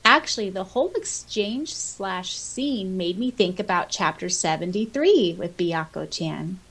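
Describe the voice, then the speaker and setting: A woman's voice: medium pitch, lively intonation, unhurried, a bit bright, husky. A woman co-hosting a podcast in a casual chat, reading out listener comments and reacting with giggly enthusiasm. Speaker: podcast co-host.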